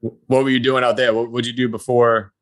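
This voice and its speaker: A man's voice: medium pitch, little pitch movement, brisk, no echo. Friendly podcast host man, dry close sound. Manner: friendly, reads intro then chats loosely. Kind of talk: casual interview chat.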